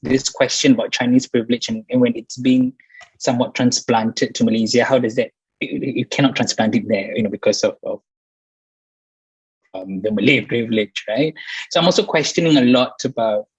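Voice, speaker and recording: A Singaporean-accented man takes part in an informal online panel. His voice is a little husky and mid-pitched, and he talks haltingly, repeating phrases and adding small tags. The video-call sound is dry and slightly dull. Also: no echo. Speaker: doctoral researcher on a panel.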